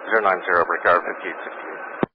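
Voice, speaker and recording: Low male pilot voice on dull radio. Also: fairly even intonation, a little fast. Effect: aviation radio. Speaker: airline pilot. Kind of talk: radio readbacks and reports. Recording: dull cockpit radio, faint noise.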